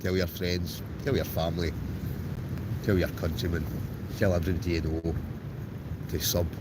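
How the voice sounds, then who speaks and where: A man's voice: low-pitched, little pitch movement, normal speed. A Scottish man livestreaming from a tent, chatting casually with viewers in a rambling way, with lots of restarts. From Scotland. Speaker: wild camper livestreaming. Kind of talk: casual livestream chat reading viewer comments. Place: in a tent outdoors in a storm. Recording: loud wind noise around the tent. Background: strong wind.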